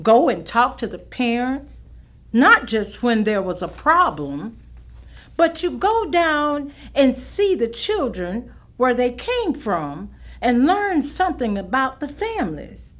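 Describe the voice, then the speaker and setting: An actress playing a proud, firm veteran schoolteacher looking back in a staged reading. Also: female voice, sits high, big pitch swings, takes it slowly, fairly bright tone, heavily acted. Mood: proud, reminiscing. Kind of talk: staged monologue of oral history recollections.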